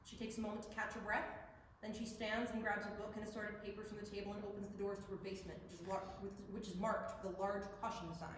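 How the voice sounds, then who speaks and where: A woman reads scripted stage directions from a distance in a very echoey hall, with an average-pitched voice and faint background noise. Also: little pitch movement, quick pace. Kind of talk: scripted narration.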